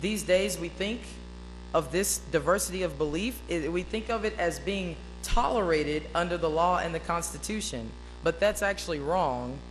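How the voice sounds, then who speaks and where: A woman, giving an earnest prepared speech at a podium in a meeting hall with a loud, big voice. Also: normal speed, faint music behind. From East Arkansas, USA. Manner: loud, booming voice; emphatic, earnest. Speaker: speaker at an interfaith devotional.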